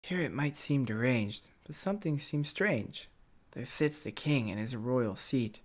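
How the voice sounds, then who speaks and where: A woman's voice: a bass voice, expressive, unhurried. A woman narrator telling a story in theatrical rhyme.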